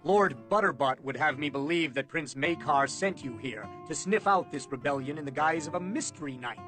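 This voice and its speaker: A man voicing a cold, imperious lord and royal minister, measured, formal and contemptuous, in an audiobook. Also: on the high side, expressive, over music, lightly acted. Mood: suspicious.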